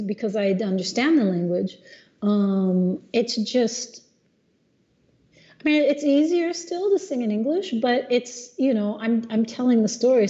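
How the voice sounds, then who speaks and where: Middle-aged lady singer chatting, mellow tone, kinda dull lo-fi sound, keeps restarting her sentences. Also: medium pitch. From Los Angeles, USA. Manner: thoughtful, many restarts and fillers. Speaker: jazz singer, guest. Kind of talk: casual conversation.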